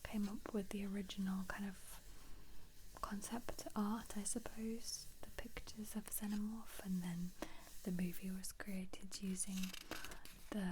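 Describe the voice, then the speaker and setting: A woman speaking in a breathy, unvoiced whisper close to the mic, gently musing in a casual ASMR commentary. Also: mid-range voice, little pitch movement, takes it slowly, background noise. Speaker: ASMR video creator.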